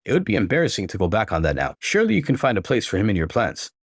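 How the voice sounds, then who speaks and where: A man's voice: lowish voice, huge pitch swings, very fast, slightly rough, no echo, studio quality, full character voice. A man voicing a presumptuous older father-in-law in a story, formal and insistent.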